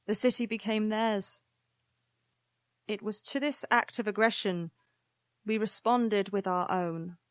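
A woman plays a grave historian of a flower people in an audio drama. She speaks slowly in a measured, formal way, proud yet weary and regretful. The sound is dry and close, with a medium-pitched voice. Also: fairly even intonation, no room sound, close to an everyday voice. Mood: solemn, measured.

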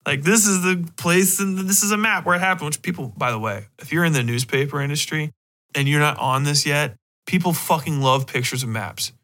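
Bright-voiced man rambling casually. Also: fairly high pitch, a little fast. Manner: rambling, animated, heavily profane. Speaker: podcast host. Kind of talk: casual podcast monologue.

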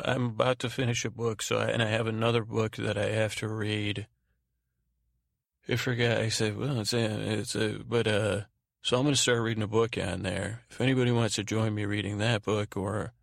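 A man hosting a bedtime podcast in a gravelly voice, meandering and halting as he trails off mid-thought. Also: fairly low pitch, steady tone, no echo, clean studio sound. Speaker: podcast host. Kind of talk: rambling bedtime podcast monologue.

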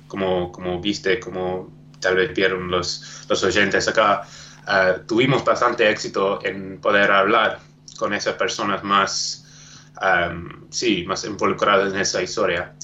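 English speaker with an Argentine Spanish accent. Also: male voice, deep voice, bright, forceful tone, muffled recording, a little hiss or hum. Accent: Argentine-tinged Spanish. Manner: hesitant, searching for words. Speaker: documentary producer, guest. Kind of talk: remote podcast interview. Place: home studio.

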